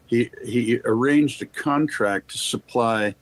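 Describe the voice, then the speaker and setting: An older man from Quebec, an interview guest telling anecdotes in erudite, formal wording, discursive and halting with repeated starts. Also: mid-range voice. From Quebec, Canada. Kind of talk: anecdotal storytelling in conversation.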